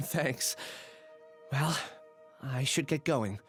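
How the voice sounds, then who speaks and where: A man playing a gentle, regretful young man in a video game, speaking reflectively and earnestly. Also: mid-range voice, extremely slow, loud music behind the voice.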